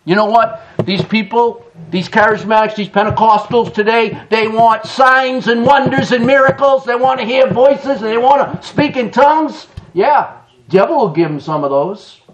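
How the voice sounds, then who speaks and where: A middle-aged man preaching a sermon in a church, emphatic, with quick chuckling asides. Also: very high-pitched, a little hiss or hum, low-quality recording. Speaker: pastor. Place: church sanctuary.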